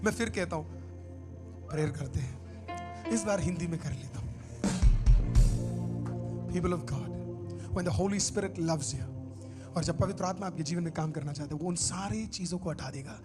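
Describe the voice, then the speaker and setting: A male pastor preaching a sermon, swinging from hushed asides to shouted exhortation. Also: on the high side, moderate pace, long pauses. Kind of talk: Hindi sermon with English phrases. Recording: sermon with music behind. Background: music.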